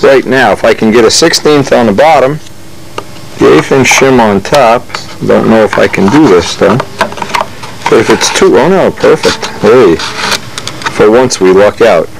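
A man's voice: mid-range voice, animated, unhurried, vocal fry, noisy background. An older man, a model airplane builder, talks casually while working at his hobby workshop bench, often breaking off mid-sentence and correcting himself.

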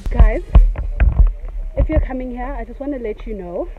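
A woman's voice: fairly high pitch, moderate pace, phone-quality sound, loud music behind the voice. A young South African woman vlogging while hiking up a mountain trail, out of breath and laughing at her own complaints. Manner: chatty, laughing, self-mocking complaints. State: out of breath from climbing. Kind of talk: casual hiking vlog to camera. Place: outdoors on a rocky mountain trail. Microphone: phone held at arm's length.

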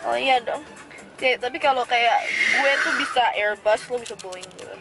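A woman's voice: fairly high pitch, moderate pace, gravelly, loud music behind the voice, low-quality recording. A young woman chatting casually as a vlogger, recorded on a handheld camera inside a car. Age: young adult. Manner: chatty, informal, slips between Indonesian and English. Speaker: beauty vlogger. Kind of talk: casual vlog chat. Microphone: handheld camera at arm's length.

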